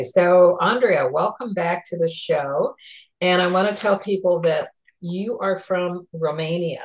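A woman talk show host and therapist who speaks in a chatty, unhurried way, with frequent ums as she plugs sponsors and introduces her guest. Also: low voice, rough recording.

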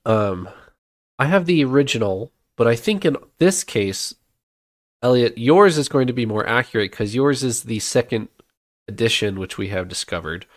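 A man's voice: mid-range voice, moderate pace, no echo, clean studio sound. A man hosting a casual podcast chat, leading the talk and handing out turns, sounding a bit nasal from a cold. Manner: relaxed, leads the talk and hands out turns. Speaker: podcast host.

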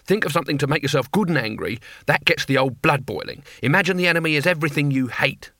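A man voicing a gruff, cheerful, very old male barbarian leader who talks blunt and plain. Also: on the high side, animated, fast, pushed and bright, dry recording, studio quality.

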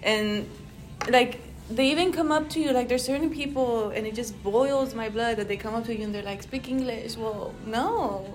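A young woman whose was Spanish, co-hosting a casual podcast and chiming in with quick agreement. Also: fairly high pitch, poor audio. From Rio Grande Valley, Texas. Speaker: podcast co-host. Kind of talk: casual chat.

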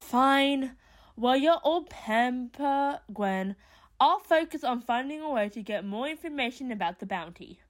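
A woman's voice: high voice, expressive, a little slow. A woman playing a blunt, argumentative but grudgingly caring character in an audio drama.

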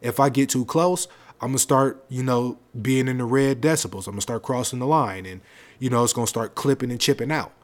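Male podcast host, deep projected voice, casual slangy rambling delivery, close mic. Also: fairly quick. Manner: loose, rambling, conversational with slang. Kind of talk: casual solo podcast talk. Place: home setup, seated on a leather seat. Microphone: close podcast microphone.

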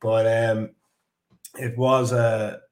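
A man's voice: medium pitch, flat delivery, drawn-out words, a little mellow. An Irish man in his forties, a podcast guest telling his story in a chatty, rambling way with many fillers and restarts. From Ireland. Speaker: guest, construction business director. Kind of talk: casual podcast interview. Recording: echoey room.

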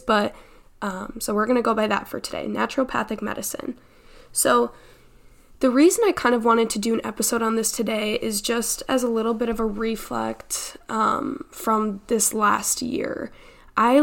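A young woman in her late teens talks as a podcast host in a fairly high voice with plenty of vocal fry. She sounds slightly stuffed up from congestion. Her delivery is chatty and informal, like a personal monologue with frequent filler phrases. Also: a bit bright. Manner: chatty, informal, peppered with "like" and "you know".

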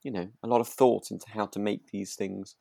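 British man, low voice, dry close sound, podcast co-host, casual chat, rambling and hesitant. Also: fairly even intonation, brisk, creaky, dark tone, no echo.

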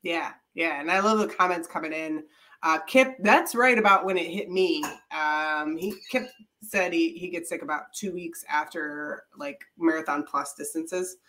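A woman's voice: deep voice, moderate pace, a bit bright. A woman co-hosting a running podcast, talking casually with many fillers and easy laughs, her voice thick from a head cold. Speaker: podcast co-host. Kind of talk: casual chat.

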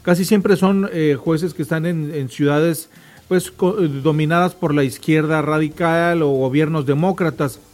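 A man's voice: fairly high pitch, measured pace, a little mellow. A man hosting a morning radio show, reading news reports and commenting in a colloquial way, speaking straight to listeners. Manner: colloquial, addresses listeners directly.

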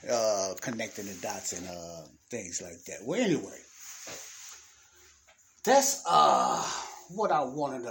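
A man's voice: on the low side, slight background noise. A man hosting his own audio podcast, rambling and preachy with many restarts, his nose congested from sinus trouble. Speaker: podcast host. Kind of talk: freestyle talk on faith and life.

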